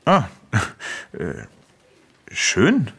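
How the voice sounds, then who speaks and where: A man's voice: on the low side, wildly swooping pitch, vocal fry, a bit bright, husky, full character voice. A man playing a polite, oblivious, hesitant schoolteacher in a children's radio drama. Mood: awkward. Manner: hesitant, halting. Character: awkward, polite, oblivious male schoolteacher Markovic.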